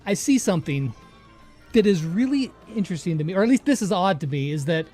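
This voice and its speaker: A woman's voice: on the low side, expressive, fast, dark tone, faint music behind. A woman hosting a casual interview, informal and affirming as she chats with her guest. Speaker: interviewer, host.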